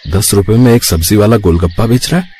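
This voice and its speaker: A man acts out a poor young vegetable seller in a cartoon moral tale. He sounds weary, plaintive and earnest, with a fairly low-pitched voice. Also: fast, dark tone, slight background noise, natural voice. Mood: dismayed. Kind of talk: Hindi moral-story cartoon voice acting.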